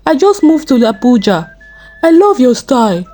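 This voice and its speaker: Lady acting naive young guy in a folktale, eager and pleading, high lively pitch, music behind. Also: moderate pace. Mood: excited, impressed. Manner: exclamatory, pleading. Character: eager, naive young man, easily shocked.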